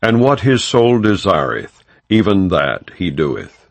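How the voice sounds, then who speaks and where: A man with a low voice reads scripture as an audiobook narrator. His delivery is solemn, formal and unhurried. The recording is close and dry. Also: sing-song, creaky, no echo.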